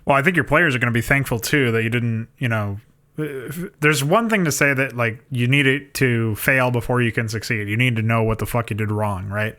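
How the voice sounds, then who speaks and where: Mid-pitched man arguing casually. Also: brisk, sharp tone. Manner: sweary, self-correcting, argumentative. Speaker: podcast host. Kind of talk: casual argumentative discussion. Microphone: personal mic on an online voice call.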